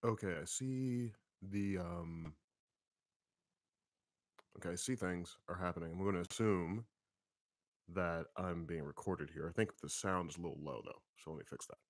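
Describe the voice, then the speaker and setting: A man with a very deep voice, a comics writer casually answering viewer questions on a livestream, thinking aloud with lots of ums. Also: normal speed, long pauses, dry recording, clean studio sound. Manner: relaxed, rambling, thinking aloud with many fillers.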